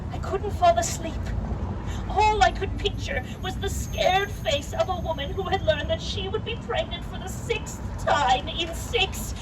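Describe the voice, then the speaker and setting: A woman playing an elderly, wry and proud lady doctor in a theatrical puppet-show monologue, chatting to the audience with rhetorical asides. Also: extremely high pitch. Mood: somber. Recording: distant mic, loud outdoor street noise.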